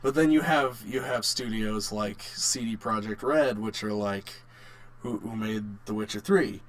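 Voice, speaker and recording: A man's voice: fairly low pitch, a little slow, rough recording. A man co-hosting a casual podcast, repeating and rephrasing his points for emphasis. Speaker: podcast co-host. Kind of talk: casual chat.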